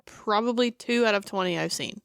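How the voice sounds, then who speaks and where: A man in a casual podcast chat with a clear, smooth voice. He talks frankly and pokes fun at himself. The recording is close and dry. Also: high-pitched, brisk, fairly bright tone, no room sound. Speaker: podcast co-host.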